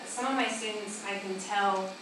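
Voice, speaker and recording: A woman's voice: mid-range voice, fairly even intonation, normal speed. A young woman student teacher thoughtfully describing her students in a seminar discussion. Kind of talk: classroom discussion. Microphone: far camera. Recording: echoey room from far off, noisy.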